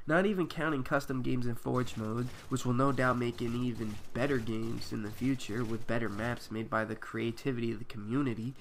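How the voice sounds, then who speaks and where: Home vlogger, male, stuffy head cold, mid-pitched, reads his scripted review to camera. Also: a bit bright. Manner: reads a prepared review with filler ums. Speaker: video game reviewer.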